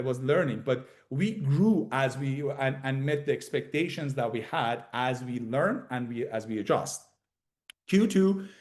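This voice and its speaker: A man speaking as a company executive on an earnings call, with halting delivery, often repeating and correcting himself. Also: mid-range voice, a little mellow. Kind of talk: investor earnings call presentation.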